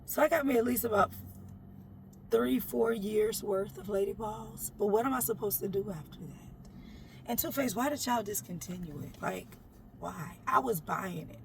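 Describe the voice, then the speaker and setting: Sassy woman with a gravelly voice. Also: normal speed, a little hiss or hum. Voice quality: deep voice. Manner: sassy, playful, talks straight to the viewer and calls them baby. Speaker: makeup vlogger. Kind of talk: chatty makeup tutorial. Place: inside a parked SUV.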